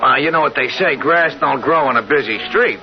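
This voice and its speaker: An actor playing an affable, skeptical middle-aged American bartender in a 1970s radio drama, folksy and wry. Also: male voice, mid-range voice, a little fast, lightly acted. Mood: amused, doubtful. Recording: dull vintage radio recording, music behind.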